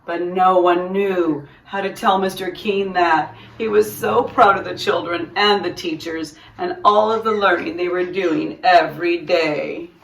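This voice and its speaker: A woman reading a children's story aloud in an animated, emphatic teacherly style. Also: fairly low pitch, a little slow, gravelly, few pauses, slight background noise. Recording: roomy echo, distant microphone.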